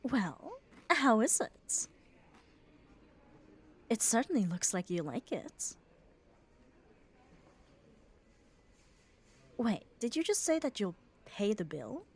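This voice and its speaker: A woman playing a muscular, devoted, gently dominant yandere girlfriend in an ASMR roleplay, speaking tenderly and soothingly and often dropping to a whisper. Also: a little high, big pitch swings, slow pace, pauses often, husky, heavily acted. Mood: affectionate. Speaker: ASMR voice performer. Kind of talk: ASMR roleplay, soft intimate acting.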